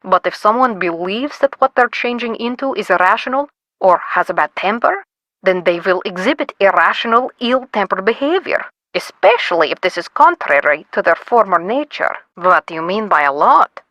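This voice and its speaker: An actress playing a composed, cautious female doctor in a video game, with a measured, formal, precise way of talking. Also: medium pitch, animated, fairly bright tone, dry recording, highs cut off, close to an everyday voice. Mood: grave, cautious.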